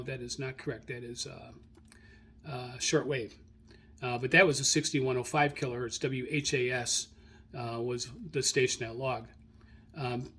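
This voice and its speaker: Halting, informal man hosting a hobby show. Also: medium pitch, fairly bright tone. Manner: halting, self-correcting, searching through notes. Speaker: radio hobbyist host. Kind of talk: informal spoken log rundown, unscripted.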